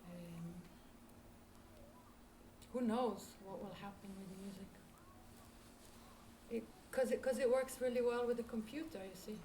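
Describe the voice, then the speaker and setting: A woman's voice: a little high, measured pace, long pauses, dark tone. A woman with an Israeli accent, a lecturer answering audience questions, reflective and searching for words. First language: Hebrew. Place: lecture hall. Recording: roomy hall, distant mic, background noise.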